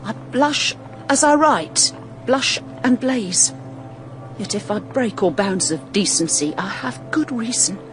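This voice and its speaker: A woman's voice: a little high, animated, takes it slowly, over music. A woman playing a sly, insistent serving maid who coaxes and insinuates in a period radio drama. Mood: goading, insinuating. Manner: coaxing, knowing, insinuating.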